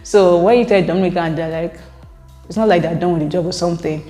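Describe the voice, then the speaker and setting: A woman's voice: lowish voice, fast talker, warm tone, faint music behind. A young Nigerian woman vlogging casually, reading viewer comments aloud and explaining herself at length, laughing often. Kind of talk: casual chat to camera. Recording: echoey room with long reverb.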